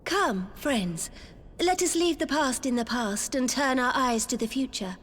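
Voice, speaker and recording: An actress playing a dignified, gracious ruler in a video game, regal, formal and composed. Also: female voice, high-pitched, lively intonation, measured pace, fairly bright tone, exaggerated voice. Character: dignified, gracious female ruler presiding over a council. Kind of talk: video game cutscene acting. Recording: long hall reverb, faint background noise.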